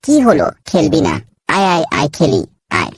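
A woman's voice: deep voice, huge pitch swings, moderate pace, over music, heavily acted. A woman voicing a naive, eager young animal child in a cartoon, childlike and pleading. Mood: playful. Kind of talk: Bengali cartoon dialogue.

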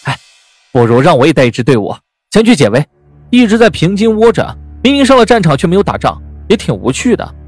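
Cocky boyish soldier lad, really high for a male, super animated, old-times audio drama. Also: fast talker, faint music behind. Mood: eager, sulky. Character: cocky, eager young male warrior, boyish and stubborn. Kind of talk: period audio drama acting.

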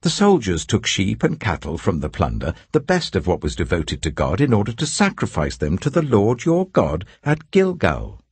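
A man's voice: low-pitched, huge pitch swings, normal speed, hardly any pauses, breathy edge, dry recording, exaggerated voice. Older man doing a pleading guilty king. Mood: defensive. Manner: pleading, defensive.

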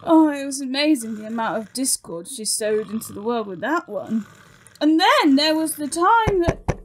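A woman's voice: high voice, very animated, normal speed. A woman playing a chatty, sycophantic demon servant in an audio comedy drama, speaking with eager deference. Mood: nostalgic, tipsy. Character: Crabby, tipsy sycophantic demon minion of Satan.

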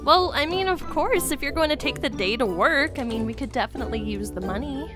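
A woman's voice: high voice, animated, fairly quick. A woman voicing a scheming, smug stepmother in a game roleplay, mocking and laughing at others. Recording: music playing behind.

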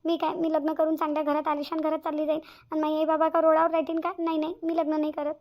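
Very high-pitched, earnest young bride-to-be acted by a woman. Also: monotone, quick pace, highs cut off. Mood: distressed, earnest. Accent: Varhadi Marathi from Vidarbha. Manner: earnest, repeats phrases emphatically. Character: anxious, selfless young bride-to-be, tenderly devoted. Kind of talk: cartoon family drama dialogue.

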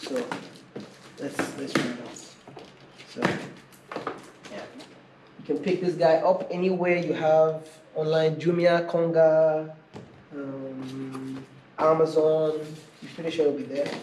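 A man's voice: fairly high pitch, fairly even intonation, takes it slowly, pauses often. A man casually hosting an unscripted unboxing video, chatting informally and thinking aloud as he reviews a product. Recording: echoey room with clear background noise.

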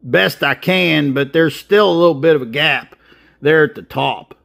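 Gravelly plainspoken middle-aged man. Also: on the high side, normal speed, fairly bright tone. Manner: plainspoken, practical, with frequent filler. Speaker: welder and channel host. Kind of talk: casual how-to narration. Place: welding shop and outdoor work site.

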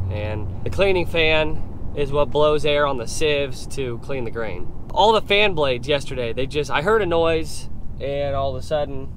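A young man from East Central Illinois vlogging about his farm, congested and chatty, talking easily with lots of fillers from a tractor cab. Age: young adult. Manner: easygoing, chatty, filler-heavy. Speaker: farmer vlogger. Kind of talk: casual vlog narration. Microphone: action camera mounted in cab. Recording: loud engine and fan noise. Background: engine and air conditioning rumble.

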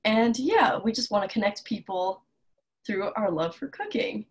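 A woman's voice: medium pitch, very animated. A young woman in her twenties introducing an online cooking class from her bedroom over a video call, talking in an upbeat way. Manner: upbeat, quick with fillers. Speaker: class organizer and host. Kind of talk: friendly introduction. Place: bedroom at home. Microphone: laptop webcam on a video call.

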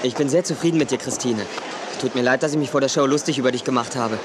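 A woman's voice: extremely low pitch, fast talker, a crowd in the background, background noise. An actress playing a friendly, supportive young woman in a children's audio drama. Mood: approving.

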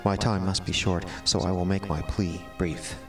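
An actor playing an eloquent, melodramatic cat who sees himself as a prisoner, speaking in formal, florid, theatrical monologue. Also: male voice, low-pitched, level tone, moderate pace, far from the mic, lightly acted. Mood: proud, resentful. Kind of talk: dramatic first-person audio drama monologue. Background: music.

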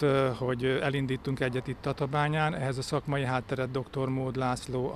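A middle-aged man giving an interview in a hesitant, matter-of-fact way into a handheld microphone outdoors. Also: medium pitch. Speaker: interviewee, club organiser. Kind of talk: spoken interview. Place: outdoors in a town square. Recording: outdoor urban ambience, clear background noise.

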